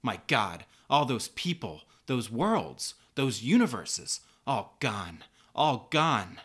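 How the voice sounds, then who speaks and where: A man's voice: medium pitch, big pitch swings, slow pace, a bit bright, husky, voice pushed up, exaggerated voice. A man voicing a brave young superheroine in a comic-book story, in an impassioned, theatrical way. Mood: outraged, grieving.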